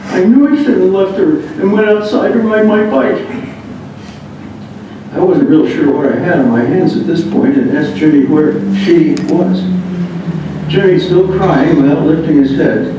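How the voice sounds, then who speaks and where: A man reads a written first-person memoir aloud, halting at times. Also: warm tone. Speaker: reader of a memoir. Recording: muffled, very echoey room, distant mic, rumbling noise.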